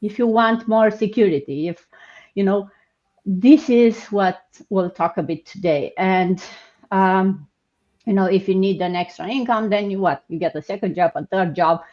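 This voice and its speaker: Woman host, from Romania, chatty and halting, dull video-call sound. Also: medium pitch, a little mellow. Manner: chatty, hesitant, many fillers. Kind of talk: live online panel hosting.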